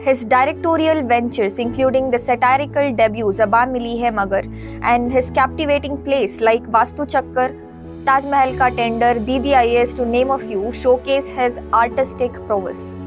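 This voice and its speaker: A woman reading a smooth, even scripted narration. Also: a little high, normal speed. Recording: voice-over with music behind.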